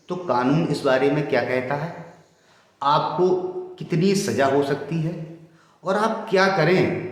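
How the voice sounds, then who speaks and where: A middle-aged man explains legal matters to viewers in a formal, deliberate way, repeating points for emphasis. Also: mid-range voice. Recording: very echoey room with long reverb.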